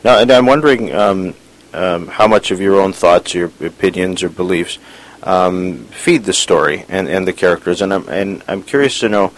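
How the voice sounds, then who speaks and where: A man's voice: low voice, normal speed, poor audio. A man interviewing an author, asking questions with frequent stammers and repeated words.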